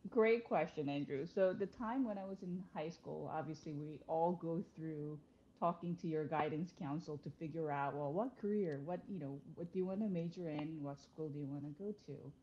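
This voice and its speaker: Low, mellow-voiced Korean-born woman. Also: moderate pace, dull audio, slight background noise. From South Korea. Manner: conversational, many fillers and restarts, laughs easily. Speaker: interior design principal, podcast guest. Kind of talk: casual interview chat.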